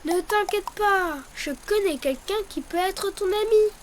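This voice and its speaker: A woman's voice: very high-pitched, measured pace, slight background noise. A woman voicing a cheerful, helpful young child who gives step-by-step drawing instructions in a children's cartoon. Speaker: host of drawing tutorial. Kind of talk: children's educational cartoon acting. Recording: loud music behind the voice.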